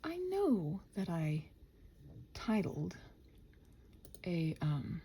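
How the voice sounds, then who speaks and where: A woman's voice: on the low side, sing-song, drawn-out words, dark tone, a little hiss or hum. A woman rambling casually to herself in a body-doubling ASMR style, scattered and muttering, often slipping toward a whisper. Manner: playfully talks to the computer and quotes imagined exchanges. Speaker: channel host rambling while working.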